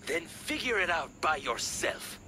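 A cold, haughty agent of an enemy force in a video game. His formal, menacing voice sits fairly high for a man and moves expressively, with noisy ambience behind it. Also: very animated, measured pace. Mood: stern rebuke.

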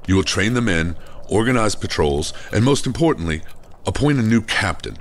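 An actor playing a hearty, authoritative royal advisor in a fantasy audio drama, speaking in a commanding, formal manner. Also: male voice, on the low side, lively intonation, moderate pace, a little hiss or hum. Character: hearty, authoritative king's right-hand nobleman, old friend.

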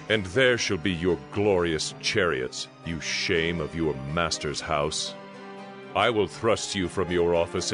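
A man giving a solemn, declamatory dramatized narration of scripture. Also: low-pitched. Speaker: audio Bible narrator.

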